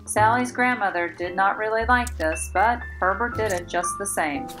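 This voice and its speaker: Female storybook reader, kindergarten-teacher delivery, medium pitch, deliberate emphasis, over prominent instrumental music. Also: fairly bright tone, rough recording. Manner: deliberate storytelling with emphasised, drawn-out key words. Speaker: kindergarten teacher reading a picture book aloud. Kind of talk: children's storybook read-aloud.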